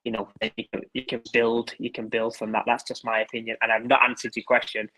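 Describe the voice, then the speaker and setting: Male pundit, monotone, halting casual chat. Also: mid-range voice, low-quality recording. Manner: hesitant, repeats words. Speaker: guest pundit.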